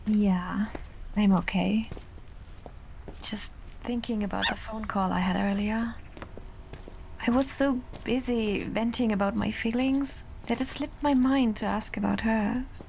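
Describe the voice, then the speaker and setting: A woman's voice: mid-range voice, steady tone, unhurried, creaky, a little mellow, a little hiss or hum, poor audio. A woman playing a jaded, resentful young office worker in an audio horror drama. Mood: guarded.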